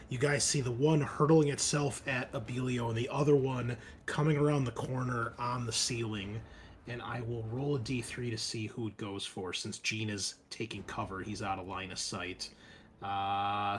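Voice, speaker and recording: A man's voice: medium pitch, slight background noise. A man working as game master, narrating a horror roleplaying game, moving between dramatic description and casual rules talk.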